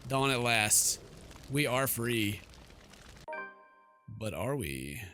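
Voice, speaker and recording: Bright-voiced man narrating a video game playthrough. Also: medium pitch, big pitch swings, extremely slow. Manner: dramatic storybook reading broken by jokey asides. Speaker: gaming video host. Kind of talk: let's play, reading game text aloud with casual reactions. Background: game music.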